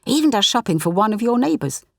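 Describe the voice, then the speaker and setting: Audiobook role: cheeky teenage schoolboy, played by a woman, slangy and wry, pitched up and very animated, dry close sound. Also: fast, creaky, no room sound, full character voice. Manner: exaggerated cheerfulness, sarcastic, slangy. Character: cheeky fifteen-year-old schoolboy, wry and over-cheerful. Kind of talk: audiobook character voice.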